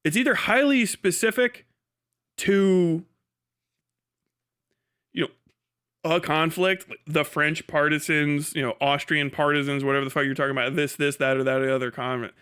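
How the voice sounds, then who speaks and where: A man's voice: fairly high pitch, fairly even intonation, normal speed, pauses often, fairly bright tone, clean tone. A man giving casual live-stream commentary in a blunt, rambling, profanity-laden way. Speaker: streamer reacting to videos.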